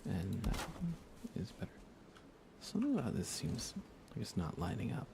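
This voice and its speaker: A young man softly murmuring on an ASMR gaming livestream in an unhurried, casual way, often close to a whisper, near the mic. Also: mid-range voice, wildly swooping pitch, noisy background. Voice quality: soft, hushed, often slipping into breathy whisper. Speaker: livestream host.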